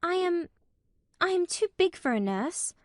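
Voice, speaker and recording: An earnest little girl from a storybook. The voice is high and swoops about, timid and a little stiff, with a tremulous, pleading edge. The sound is dry. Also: big pitch swings, a little slow, no room sound, heavily acted. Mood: stiff, nervous.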